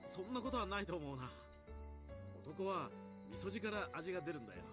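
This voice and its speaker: A man's voice: high voice, big pitch swings, rapid-fire. A man voicing a genial, slightly bashful photographer of about thirty in an anime visual novel. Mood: sheepish. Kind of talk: Japanese anime game voice acting. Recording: game music underneath.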